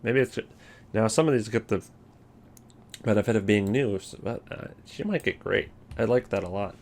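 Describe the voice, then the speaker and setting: Low-pitched man thinking aloud. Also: moderate pace, darkish tone. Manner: rambling, thinking aloud, wry. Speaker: video host ranking game voice lines. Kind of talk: casual unscripted commentary.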